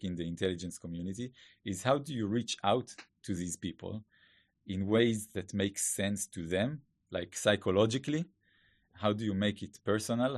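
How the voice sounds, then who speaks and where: A man's voice: deep voice, no room sound, studio quality. A man with an Israeli accent, a Hebrew native speaker, talking as a podcast guest in a thoughtful, casual way full of fillers and restarts. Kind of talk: casual interview talk. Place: studio.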